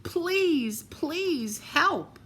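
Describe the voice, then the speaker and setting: A woman voicing a crowd of dolls and toys in a storybook, high and begging. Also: expressive, extremely slow, noisy background, full character voice. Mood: pleading.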